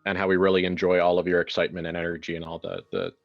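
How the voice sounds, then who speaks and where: A man's voice: low-pitched, big pitch swings, very fast, creaky, dull audio, soft background music. A man hosting a horror roleplaying game in a casual, improvised way, with wry joking asides. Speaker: game master and host.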